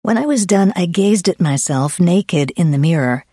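A woman's voice: fairly low pitch, animated, moderate pace, warm tone, husky, no room sound, studio quality. A woman reading a first-person memoir as a scripted audiobook narration.

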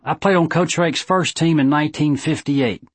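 A man voicing an aging, earnest small-town judge with a squeaky voice and a thick Southern drawl, giving an audiobook eulogy. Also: moderate pace, breathy edge, no room sound. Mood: plainspoken, reminiscing. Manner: drawling, oratorical.

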